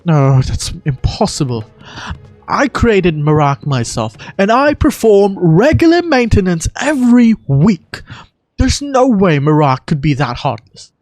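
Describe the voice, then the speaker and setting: A man voicing an excitable, dramatic young architect from a fantasy game, with an emphatic, theatrical delivery. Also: high voice, a little slow, dry recording, soft background music. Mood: exasperated.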